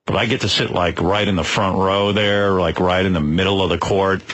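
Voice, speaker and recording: A man's voice: deep voice, fairly even intonation, moderate pace, a bit bright. A man bantering as a radio host, casual, brash and boastful in the way he talks.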